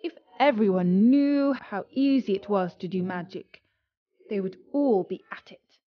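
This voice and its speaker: A woman's voice: mid-range voice, unhurried, close to an everyday voice. Woman playing a cocky young apprentice boy, muffled. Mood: smug. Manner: eager, self-important, storybook dialogue.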